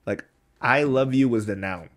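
A man's voice: medium pitch, normal speed. A man co-hosting a casual podcast, teasing his friend and leaning on filler words. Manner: playful teasing, lots of filler like. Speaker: podcast co-host. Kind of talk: casual chat.

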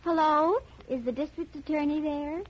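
A woman playing a high-voiced, sly gangster's moll. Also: steady tone, moderate pace, natural voice. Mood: slyly encouraging. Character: world-weary, sly gangster's moll, two-faced flatterer. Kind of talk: 1950s radio drama acting. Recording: muffled, noisy old radio recording.

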